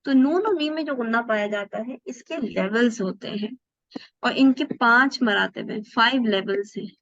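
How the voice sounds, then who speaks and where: A woman's voice: a little high, moderate pace, a bit bright. Woman, teacher, patient explaining, rhetorical questions, dull lo-fi sound. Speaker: Quran recitation teacher. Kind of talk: online class lesson explaining tajweed rules.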